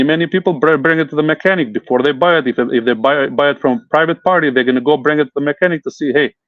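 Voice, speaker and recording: A man's voice: on the high side, dry recording, lo-fi sound. A man who grew up in Italy, a home inspector answering interview questions earnestly and with many hesitant fillers.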